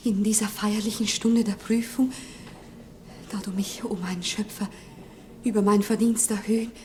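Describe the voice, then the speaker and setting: An actress playing a pious, innocent young bride-to-be with fervent rapture in a 1960s radio drama. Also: female voice, mid-range voice, natural voice. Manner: fervent, solemn, exclamatory to hushed. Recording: 1960s radio recording, faint hiss.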